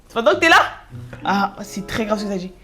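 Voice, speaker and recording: A woman's voice: mid-range voice, lively intonation, quick pace, bright, forceful tone, soft background music. Young woman teasing her brother. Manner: teasing, interrupting with reactions. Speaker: co-host, sister. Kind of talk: casual chat.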